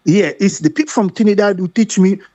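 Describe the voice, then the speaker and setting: A man from DR Congo with a Congolese accent, talking football as a guest caller in halting, repetitive, emphatic English that is not his first language. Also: high voice, darkish tone, dry recording, highs cut off. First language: French. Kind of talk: animated football talk.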